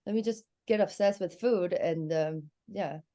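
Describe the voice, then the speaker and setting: A woman from Hong Kong with a noticeable Cantonese-tinged accent in her English, which is her second language. Her voice sits a little low for a woman. She tells personal stories in an open, animated way on a relaxed podcast chat. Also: unhurried. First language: Chinese. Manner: candid, animated storytelling. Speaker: guest comedian.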